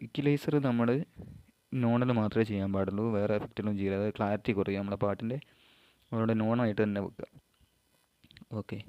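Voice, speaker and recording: Low-voiced man, dull recording. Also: warm tone, clear, resonant tone. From Kerala, India. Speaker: amateur vlogger giving an app tutorial. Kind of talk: informal step-by-step tutorial narration.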